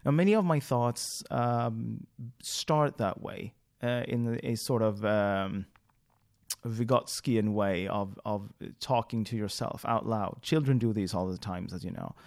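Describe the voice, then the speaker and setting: A man whose first language is Swedish, hosting a podcast in English and talking through his thoughts out loud. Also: lowish voice, a little slow, gravelly. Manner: thinking aloud, frequent fillers and restarts. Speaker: podcast host. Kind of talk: solo podcast monologue.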